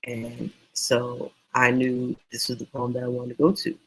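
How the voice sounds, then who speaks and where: A man teaching writing in a casual, meandering, encouraging way, his voice going hoarse. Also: mid-range voice, monotone, a little slow, poor audio. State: losing voice, hoarse. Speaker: self-published author teaching writing.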